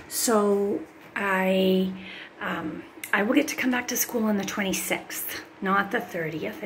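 A woman's voice: medium pitch, a little hiss or hum, rough recording. A middle-aged woman, a music teacher, chatting upbeat to her students as she walks through her house with her phone. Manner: chatty, upbeat, talks directly to viewers. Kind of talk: casual home tour vlog to students. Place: small home rooms, indoors. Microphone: phone camera held by hand.

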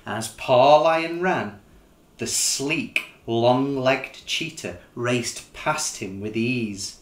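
A middle-aged man reading a children's picture book in an expressive, measured, dramatic storytelling style. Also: fairly low pitch. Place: small living room, indoors. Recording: roomy living-room sound.

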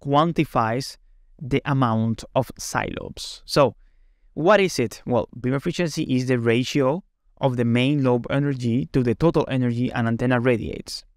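A man's voice: medium pitch, moderate pace, dry recording, studio quality. A man giving a webinar product presentation in an explanatory way, often checking in with "right?". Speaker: company presenter.

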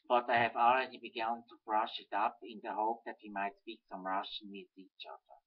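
Young Swiss German-accented man, lowish voice, stilted earnest read, muffled webcam sound. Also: flat delivery, brisk, breathy edge. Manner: earnest, careful, slightly stilted reading. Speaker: webcam video message sender. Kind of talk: reading a letter aloud. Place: small room at home, indoors. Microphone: webcam built-in mic. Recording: dull lo-fi webcam audio.

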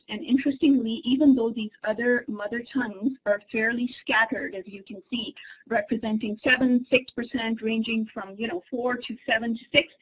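A woman whose first language is Urdu, an associate professor, presenting a webinar lecture in a measured, explanatory way over a computer microphone. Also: on the high side, husky, rough recording. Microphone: computer microphone in an online webinar.

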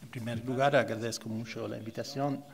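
A man's voice: medium pitch, fairly even intonation, fairly quick, creaky, background noise. A man with an Italian accent speaking Spanish as a bank director on a panel, choosing his words carefully. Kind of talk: panel discussion answer.

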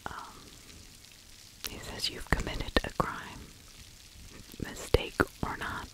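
Woman doing asmr true crime, all whispers, real slow and halting, right up on the mic. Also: rough recording. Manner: hushed, halting delivery with pauses. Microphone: close microphone with fluffy windscreen.

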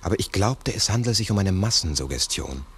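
An actor playing a sceptical, methodical investigator in a 1960s radio drama, deliberately reasoning aloud in formal speech. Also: male voice, sits low, expressive, a little hiss or hum. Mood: puzzled reasoning.

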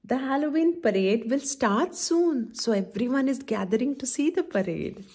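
A middle-aged woman hosting a children's story time, reading a picture book aloud in an instructive, expressive way and asking the young listeners questions. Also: high voice, normal speed, slightly rough. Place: indoor room at home, by a window.

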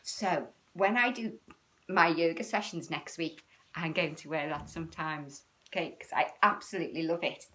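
A British woman in her fifties hosting a children's storytime, talking in an animated, sing-song way with frequent tag questions. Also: sits low, normal speed. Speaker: children's storytime and yoga host. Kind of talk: chatty, child-directed livestream talk. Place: small room at home, indoors.

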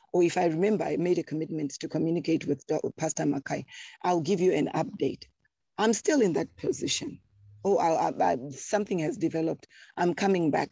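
Gravelly, husky woman. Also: on the low side, warm tone, no room sound, lo-fi sound. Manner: earnest, repeats words while thinking. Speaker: church congregant. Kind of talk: panel discussion contribution.

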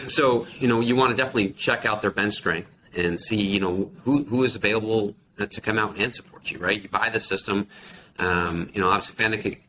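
Low, bright-voiced American man, noisy call. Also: fairly quick. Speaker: industry expert guest, account manager. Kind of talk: casual explanatory interview talk. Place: small plain indoor office. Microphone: video call computer microphone. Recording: clear background noise on video call.

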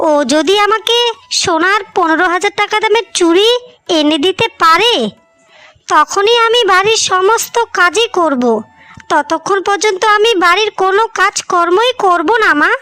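A woman's voice: extremely high pitch, moderate pace, bright, forceful tone, no echo. A woman playing a hard-working, irritable elder daughter-in-law in a village moral-story cartoon, scolding and complaining. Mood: sulky, demanding.

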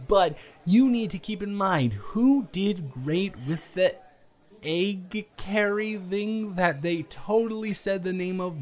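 A man acting out a playful, flustered young guy on a first date in an improvised audio roleplay, teasing and stammering with mock indignation. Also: on the high side. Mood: mock stern. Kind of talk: improvised romantic audio roleplay, casual chat.

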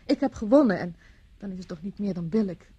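A woman's voice: medium pitch, lively intonation, fairly quick, mellow, breathy edge, lo-fi sound. An actress from the Netherlands playing a charming, teasing young society woman in a radio drama. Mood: playful. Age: young adult. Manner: teasing, polite, airy.

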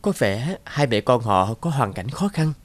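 A man's voice: mid-range voice, big pitch swings, measured pace. A man voicing an anxious, polite young female shop clerk in earnest cartoon dialogue. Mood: determined. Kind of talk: cartoon moral-tale dialogue.

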